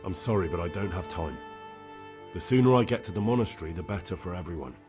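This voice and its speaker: A quick-talking, fairly low-pitched young man of a medieval world. He is an earnest envoy, direct and purposeful, and stays polite. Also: lively intonation, music in the background. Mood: matter-of-fact. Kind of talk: video game voice acting.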